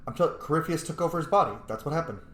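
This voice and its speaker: A man's voice: a little high, lively intonation, racing, fairly bright tone. A man giving casual, opinionated commentary as he plays a video game, reacting informally. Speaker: gamer narrating a playthrough. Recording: echoey room, faint game noise behind.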